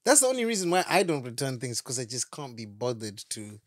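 A man's voice: medium pitch, very animated, no room sound. A young man, co-hosting a podcast, jokey and teasing in casual chat on a studio mic. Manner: jokey, quick to laugh, teasing. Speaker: podcast co-host. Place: small home studio. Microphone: studio mic on boom arm.

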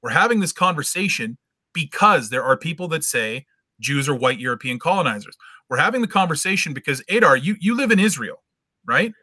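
Bright, insistent middle-aged man. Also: a little high, sing-song, a little fast, breathy edge, dry recording. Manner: insistent, lecturing, repeats words when pressing a point. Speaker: debate guest. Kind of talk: argumentative online debate. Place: home room. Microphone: webcam video call.

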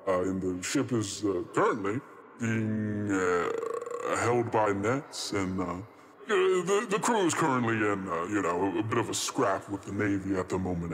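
A man's voice: on the low side, a little hiss or hum, close to an everyday voice. A man voicing a chatty, courteous trickster spirit in a tabletop game, hedging and rambling politely as he goes. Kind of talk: improvised tabletop roleplay. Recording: long reverb on the voice.

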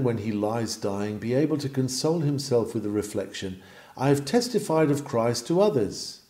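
Mellow man reading a sermon with sweeping intonation. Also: mid-range voice. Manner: declamatory, rhetorical, earnest. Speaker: reader of sermons. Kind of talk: dramatic reading of a Victorian sermon.

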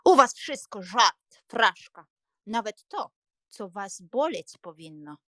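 A woman's voice: mid-range voice, sing-song, bright, forceful tone, dry recording, clean studio sound, heavily acted. Woman acting a choleric, grumbling old lady, swooping voice. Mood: indignant. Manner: emphatic, grumbling, drawn-out exclamations. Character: choleric, grumbling elderly gentlewoman, impetuous and scornful. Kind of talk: dramatized audiobook reading.

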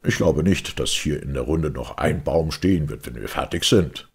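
A man's voice: rumbling low, animated, normal speed, gravelly, a little mellow, slightly rough, heavily acted. A man voicing a pompous, blustering, childish storybook twin brother, scornful and self-important. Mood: boastful.

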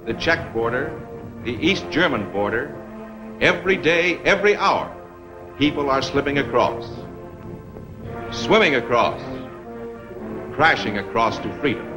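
An American man delivering emphatic, rhetorical narration for a 1950s military film, with dramatic pauses. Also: medium pitch, animated, over music, rough recording. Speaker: narrator and presenter.

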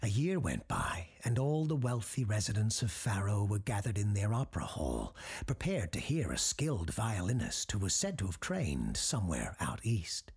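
An actor playing a grave, scholarly doctor who recounts dark legends in a horror audio drama, husky and ominous, with deliberate dramatic pauses. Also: male voice, on the low side, wildly swooping pitch, heavily acted. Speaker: character host telling a tale.

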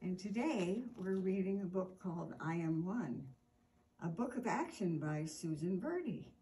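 An older woman in her sixties hosting a children's storytime, reading aloud deliberately and expressively, drawing out words for emphasis. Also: fairly low pitch, lively intonation, warm tone, poor audio. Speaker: storytime host reading a picture book aloud. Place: indoor room with armchair.

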